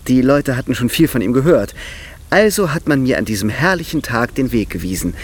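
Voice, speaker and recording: Man acting exuberant young gentleman with lively swooping delivery. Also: medium pitch, brisk, lightly acted. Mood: charming, playful. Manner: polite, effusive, playfully theatrical. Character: charming, worldly, exuberant young European gentleman visitor. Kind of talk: radio drama acting.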